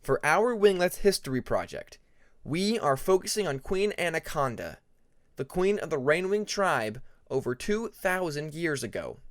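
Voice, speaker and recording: A man performs a young male dragon student from a fantasy audiobook, earnest and nervous. His speech is careful and a little halting, with lively pitch movement. The sound is close and dry. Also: no room sound. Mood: nervous presenting.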